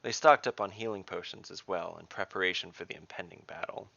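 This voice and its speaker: A man hosting and narrating a scripted fantasy story as its dungeon master, in a dramatic, deliberate way. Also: low-pitched, vocal fry, sharp tone, no room sound, muffled recording.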